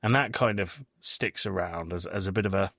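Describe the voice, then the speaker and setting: A man's voice: low voice, normal speed, gravelly, fairly bright tone, dry recording, clean studio sound. A man hosting a podcast, recapping a film in casual discussion and often repeating words as he thinks. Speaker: podcast host.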